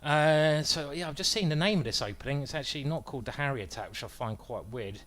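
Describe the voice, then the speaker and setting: A man's voice: a little high, expressive, quick pace, fairly bright tone. A man streaming live, commentating his own chess moves as he plays, thinking aloud and joking about his own bad play.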